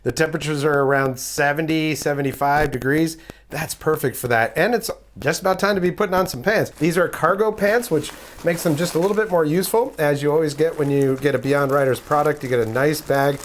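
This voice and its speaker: Raspy, hoarse-voiced man reviewing gear. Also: a little high, brisk, slight background noise. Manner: chatty, rambling, easygoing. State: raspy, hoarse voice after illness. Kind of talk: casual product review vlog.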